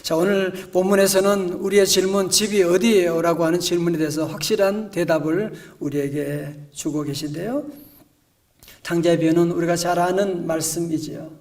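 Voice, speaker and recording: Male pastor, storytelling sermon, hushed-to-emphatic; high voice, distant church pickup. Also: steady tone, normal speed. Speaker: senior pastor. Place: church sanctuary.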